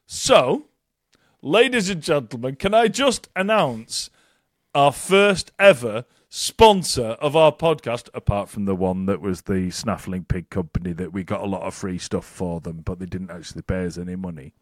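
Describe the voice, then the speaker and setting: Casting: male podcast co-host, sweary and jokey, lively voice, dry close sound. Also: lowish voice, moderate pace, no echo. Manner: sweary, jokey, rambling announcements. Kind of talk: casual chat.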